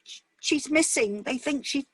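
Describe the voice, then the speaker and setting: A woman's voice: sits high, measured pace, fairly bright tone, dry recording, pitched above the performer's own voice. A woman voicing a troubled, anxious wife and mother in a short story reading. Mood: shocked, halting.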